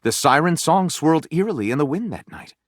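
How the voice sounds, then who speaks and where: A man voicing a flamboyant, theatrical storyteller for a video game, moving between grandiose narration and gushing excitement. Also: a little high, brisk, no echo, studio quality. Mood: grandiose, dramatic. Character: flamboyant, theatrical storyteller co-writing lurid pulp novels.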